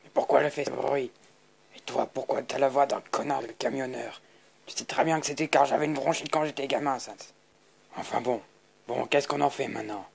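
A man voicing grumbling, theatrical skeleton brothers in an amateur comic dub, with a gravelly voice. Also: mid-range voice, fairly quick. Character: pompous skeleton boss and his weary, sarcastic brother.

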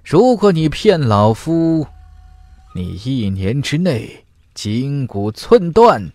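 A man playing a menacing, greedy, suspicious old male cultivator in a fantasy audiobook, gruff and sneering. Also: medium pitch, sing-song, slow, darkish tone, dry recording, pitched above the performer's own voice.

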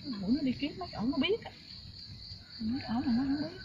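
Lively high-voiced southern Vietnamese woman. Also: brisk. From Ben Tre, southern Vietnam. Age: middle-aged. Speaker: mushroom picker, mother of family. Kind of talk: casual chat. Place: outdoors by a creek in a coconut grove. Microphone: off-camera, picked up by filmer's device. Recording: dull outdoor recording, loud insect noise.

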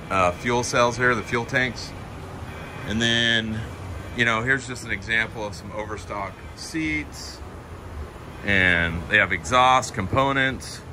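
A man walks through a large indoor warehouse and workshop, pointing out parts in a chatty, upbeat way. His voice sits at an ordinary middle pitch. Steady shop noise runs underneath his voice. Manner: chatty, enthusiastic, pointing things out. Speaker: host touring a shop. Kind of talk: casual walkthrough narration. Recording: noisy shop recording.

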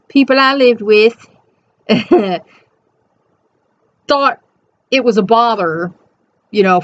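A middle-aged woman with a high, soft voice, chatting reflectively to camera at home with rambling, conversational asides. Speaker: host reading and commenting on an inspirational reflection. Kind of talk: casual reflective chat to camera with read-out passages. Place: small cluttered room at home. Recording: dull, lo-fi webcam sound.

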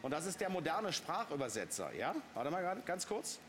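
A man's voice: a little high, brisk, a little hiss or hum. A middle-aged man presenting products on a shopping channel in a TV studio, joking and chatty. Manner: joking, lively, restless asides. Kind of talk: casual product demonstration. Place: TV studio stage.

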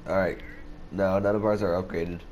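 A man's voice: low-pitched, little pitch movement, moderate pace, darkish tone, highs cut off, a little hiss or hum. A young man with a sick, cracking voice, giving laid-back commentary while playing a game. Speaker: gaming channel host. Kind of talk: casual gameplay commentary.

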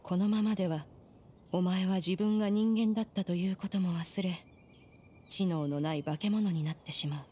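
A woman voicing a haughty, stern immortal sage in a video game, speaking in a measured, archaic, imperious way. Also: fairly low pitch, warm tone, husky. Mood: grave. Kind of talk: Japanese video game voice acting.

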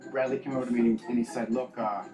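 A man's voice: medium pitch, flat delivery, rapid-fire, echoey room. Easygoing man in a TV confessional. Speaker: reality show contestant. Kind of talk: confessional interview. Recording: TV audio replayed with loud music.

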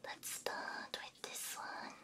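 Whispering woman, slow ASMR roleplay. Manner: soothing, gentle reassurance to the listener. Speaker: VTuber ASMR host. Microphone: close binaural ASMR microphone.

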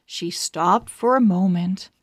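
A woman reading a children's storybook aloud in an expressive, deliberate way. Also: lowish voice, slightly rough, dry recording, clean studio sound. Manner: expressive, deliberate word-by-word delivery. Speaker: storybook reader. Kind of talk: children's read-aloud narration.

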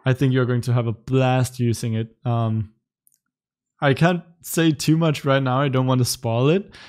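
A young man in his twenties from Denmark, a native Danish speaker, casually answering chat questions on a livestream from his room, relaxed and chatty with light laughs. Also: medium pitch, moderate pace. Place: indoor home room in front of a webcam.

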